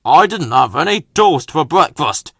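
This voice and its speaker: A man's voice: fairly high pitch, very animated, unhurried, gravelly, no room sound, full character voice. Man doing a bossy stuffy railway boss from a kids train story, stern n formal, dry close sound. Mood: stern, cross. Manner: formal, pompous, authoritative.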